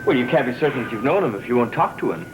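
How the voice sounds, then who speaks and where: A man's voice: medium pitch, animated, rapid-fire, background noise, natural voice. An actor playing a brooding, secretive man in a gothic soap opera, pensive and full of questions. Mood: probing. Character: brooding, secretive man awaiting a mysterious emissary. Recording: vintage TV soundtrack, loud music.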